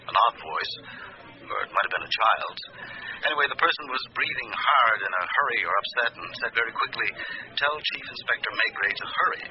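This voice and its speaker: An actor playing an earnest, deferential junior police inspector reporting in a radio drama, slightly hesitant. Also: male voice, mid-range voice, animated, a little fast, dull audio, natural voice. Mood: earnest, puzzled. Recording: noisy band-limited radio broadcast recording.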